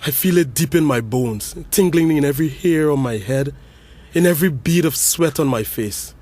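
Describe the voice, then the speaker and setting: A man's voice: a little high, normal speed, warm tone, lightly acted. A young man, playing a brother who delivers an intense, accusing eulogy at a funeral in an audio drama.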